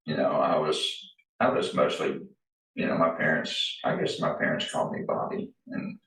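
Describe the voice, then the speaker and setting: A man's voice: rumbling low, big pitch swings, moderate pace, a bit bright. A man in his sixties, a former town administrator, talking casually on a panel with many fillers and restarts. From Arlington, Virginia. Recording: echoey room from far off.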